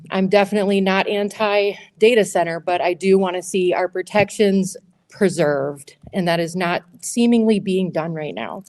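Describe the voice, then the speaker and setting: Loud, methodical woman speaking at a town hall. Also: lowish voice. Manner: loud, clear, methodical questions. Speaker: state senate candidate. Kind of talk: prepared public speech. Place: high school auditorium.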